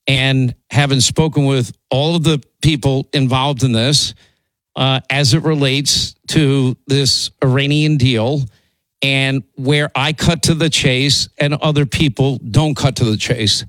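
Hoarse male talk radio host, dry studio. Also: medium pitch, steady tone, measured pace, fairly bright tone, no echo, poor audio. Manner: rambling, repeats himself, pushes on. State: hoarse from laryngitis.

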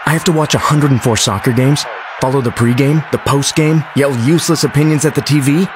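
A man's voice: mid-range voice, steady tone, fairly quick, over music. A man reading a scripted radio ad in a wry tone.

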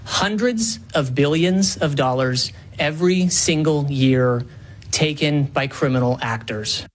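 A man delivering a formal prepared statement with measured, deliberate phrasing. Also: on the high side.

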